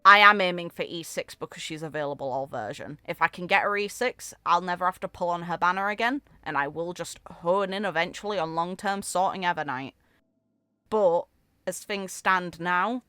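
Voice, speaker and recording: Expressive woman commentator, dry close sound. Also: fairly low pitch, moderate pace, smooth, no room sound. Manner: expressive fan talk, informal interjections. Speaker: gaming video creator. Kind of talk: casual reaction commentary, with dramatic reading aloud.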